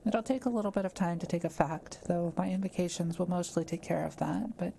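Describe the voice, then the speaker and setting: A woman playing a kind forest witch in a roleplay audio drama, speaking softly, carefully and patiently to the listener. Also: on the low side, brisk, creaky, slight background noise. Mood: gently reassuring. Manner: soft-spoken, careful, patient explaining. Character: kind, quiet-living forest witch, gently reassuring.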